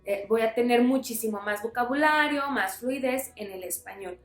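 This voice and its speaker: Friendly woman, high voice, Bible teacher speaking to camera, echoey room, soft music under. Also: normal speed. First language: Spanish. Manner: friendly, explains with everyday examples. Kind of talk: explanatory Bible lesson to camera.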